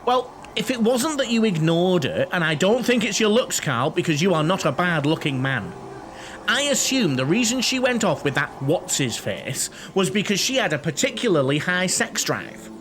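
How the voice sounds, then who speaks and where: A man's voice: high-pitched, big pitch swings, normal speed, noisy background. A man acting in an audio play as a chatty, tactless, cheeky eccentric who is blunt and guileless. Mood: nosy, blunt. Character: chatty, tactless, romance-loving eccentric man named Terry.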